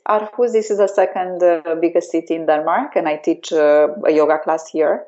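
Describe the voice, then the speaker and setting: Soft-toned Romanian woman, hesitant speech. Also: fairly low pitch, fairly even intonation, normal speed, highs cut off. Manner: hesitant, many fillers. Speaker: yoga teacher. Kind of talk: remote interview.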